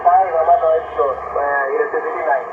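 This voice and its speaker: A man's voice: on the high side, fairly even intonation, fast. A man chatting casually as an amateur radio operator over a shortwave link. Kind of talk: casual ham radio chat. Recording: noisy, tinny narrow-band shortwave radio.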